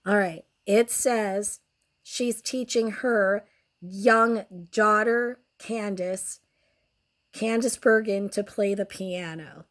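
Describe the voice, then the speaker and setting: A young woman talks through a bad cold, her voice close to gone. She speaks slowly and draws out her words. Her commentary is sardonic and crude. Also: mid-range voice, a bit bright. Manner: crude, sardonic, slow and drawn out. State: sick, nearly lost voice. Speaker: host. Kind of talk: casual commentary on photos.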